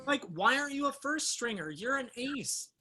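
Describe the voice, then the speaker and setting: A woman's voice: high voice, big pitch swings, moderate pace, pushed and bright, exaggerated voice. A woman in a play reading playing a foul-mouthed young American student, blunt and sarcastic with a teasing streak. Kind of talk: play reading, naturalistic comic banter.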